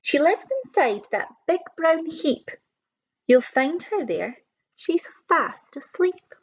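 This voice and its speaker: A woman voicing friendly, chatty farmyard birds, in a high, coaxing storybook voice. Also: expressive, takes it slowly, highs cut off, a little hiss or hum, heavily acted.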